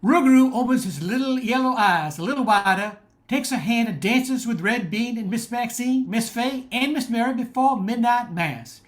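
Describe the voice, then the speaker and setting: A woman narrating an amateur radio play as a scripted storybook tale. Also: on the high side.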